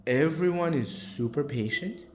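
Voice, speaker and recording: A man's voice: fairly high pitch, moderate pace, a little hiss or hum. A man from Moldova who owns a coffee shop, talking casually in an interview and acting out the people he quotes. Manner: animated, acts out quoted people.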